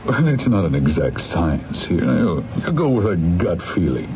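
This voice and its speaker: A shrewd defense attorney in a 1970s radio mystery. He is a deep-voiced, candid man with lively, wry delivery. The sound is tinny and noisy. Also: a little slow. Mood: explaining, knowing.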